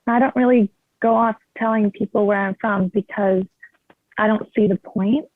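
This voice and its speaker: Female meetup participant, mid-pitched, candid casual sharing, narrow phone-like video-call audio. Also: steady tone, normal speed, dry recording. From Canada. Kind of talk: personal sharing in casual talk.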